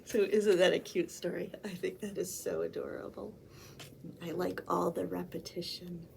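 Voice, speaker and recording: A woman's voice: on the low side, steady tone, normal speed, gravelly, darkish tone, a little hiss or hum. A woman reading a children's picture book aloud as a storytime librarian, with theatrical, sing-song emphasis. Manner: theatrical, sing-song emphasis with acted animal sounds and sneezes.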